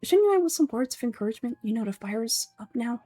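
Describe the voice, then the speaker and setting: A woman's voice: a little high, big pitch swings, fast talker, dark tone, no echo, faint music behind. A woman voicing a brash, blunt, hearty mercenary in a game script, teasing and outspoken. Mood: indignant.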